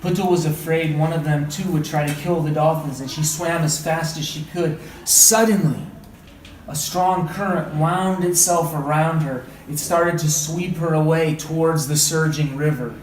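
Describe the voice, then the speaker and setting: Higher-voiced man reading aloud in echoey room. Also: steady tone. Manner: dramatic, deliberate phrasing, questioning. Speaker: read-aloud teacher and trainer. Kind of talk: expressive story read-aloud with teaching asides. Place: indoor room, training session. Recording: echoey room, distant mic, background noise.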